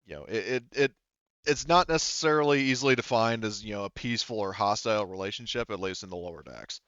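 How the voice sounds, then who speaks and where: A man's voice: on the low side, fast, fairly bright tone, no room sound, muffled recording. A man acting as game master, casually explaining a tabletop roleplaying scene, often repeating words as he works out his phrasing.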